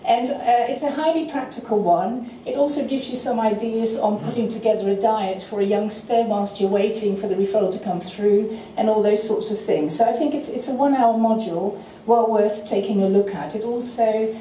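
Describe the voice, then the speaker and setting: A woman presenting to a teaching session in a brisk, friendly way. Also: on the high side, mellow, slight background noise. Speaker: network lead presenting. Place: lecture room. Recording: roomy room sound picked up from a distance.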